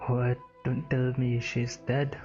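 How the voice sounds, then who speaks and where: An actress playing a blunt, protective companion in a video game, indignant and excitable. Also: female voice, rumbling low, slow pace, highs cut off, music in the background. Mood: alarmed.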